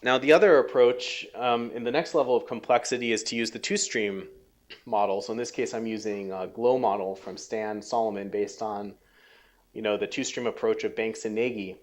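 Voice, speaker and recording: A man giving a technical research lecture, halting and full of ums and restarts, his voice a little worn. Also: lowish voice, steady tone. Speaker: scientist giving a research talk.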